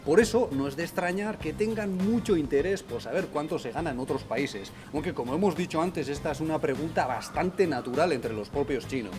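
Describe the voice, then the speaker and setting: A man with a fairly high voice and a Spain Spanish accent presents an explainer video. He speaks conversationally and articulately, laying things out like a storyteller, with music playing behind him. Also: lively intonation, a little fast, few pauses. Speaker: video host.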